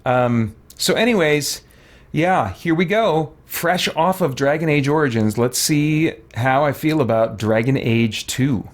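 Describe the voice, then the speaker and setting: A man's voice: a little high, measured pace. Rambling man doing casual let's play commentary. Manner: rambling, conversational, thinking aloud. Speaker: gaming YouTuber and streamer.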